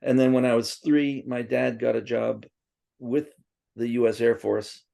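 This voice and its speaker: A man with a gravelly, dark voice tells stories in a loose, rambling way. He restarts often and wanders into asides. Swedish was his first language. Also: medium pitch, level tone, a little slow. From born in Princeton, raised partly in Tokyo. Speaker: interviewee. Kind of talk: casual reminiscing interview.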